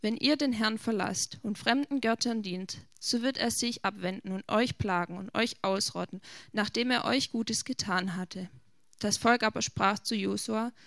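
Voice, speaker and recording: A woman reading a long scripture passage aloud in a steady, measured way. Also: mid-range voice, creaky, no echo, clean studio sound. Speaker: scripture reader.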